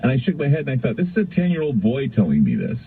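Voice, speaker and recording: A man's voice: medium pitch, wildly swooping pitch, rapid-fire, breathy edge. A middle-aged American man hosting a call-in radio show, telling stories in a rambling, conversational way that circles back on phrases. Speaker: radio talk show host. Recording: tinny, narrow-band radio sound.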